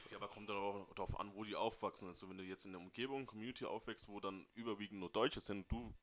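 A man in a casual group discussion who explains his point calmly, step by step. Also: lowish voice, fast talker, a little hiss or hum.

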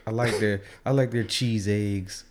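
A man's voice: on the low side, fairly even intonation, measured pace. A young man co-hosting a podcast, bantering easily in casual slang. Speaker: podcast co-host.

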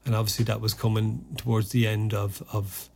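A man's voice: on the low side, flat delivery, fairly quick, creaky, darkish tone. An Irish man in his thirties, an army officer being interviewed, answering reflectively with frequent ums and restarts. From Ireland. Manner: hesitant, many restarts and ums.

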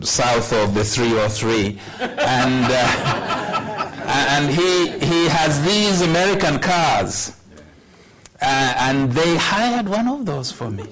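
A man in his sixties delivers a sermon at an unhurried, deliberate pace. His pitch sits in an ordinary middle range. He tells a personal story with wry humor. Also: a bit bright, low-quality recording. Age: 60s. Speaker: pastor.

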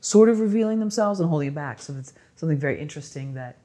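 An interviewer asking questions in a casual chat, halting and repeating words while searching for a thought. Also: mid-range voice, animated, mellow.

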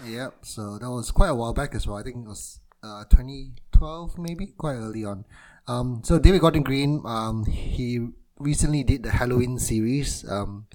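Man from Malaysia, podcast co-host, easygoing, frequent ums, mid-pitched voice. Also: normal speed, poor audio. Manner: relaxed, many ums and quiet trailing endings, laughs easily. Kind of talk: casual film podcast chat.

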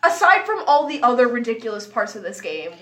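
A woman hosting a podcast in casual, joking chat, with an animated, giggly, mock-incredulous manner. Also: a little high, brisk. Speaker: podcast host. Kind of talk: casual, joking chat about visual novels.

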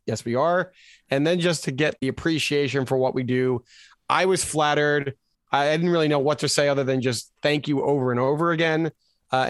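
A man's voice: fairly high pitch, no echo, clean studio sound. An American man co-hosting a casual sports podcast, telling stories animatedly and acting out what people said. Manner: animated storyteller, acts out quotes. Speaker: podcast co-host.

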